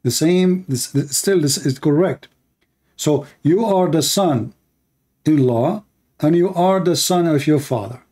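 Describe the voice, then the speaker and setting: A man whose first language is Arabic hosts a live call-in debate, pressing his callers in a blunt, challenging way and stopping often on fillers. His voice sits fairly high but has a soft, mellow tone. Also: takes it slowly.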